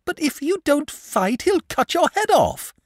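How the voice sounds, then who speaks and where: A man voicing an eager, excitable young village boy in a storybook reading, pleading and high-pitched. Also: moderate pace, no echo, clean studio sound. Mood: excited.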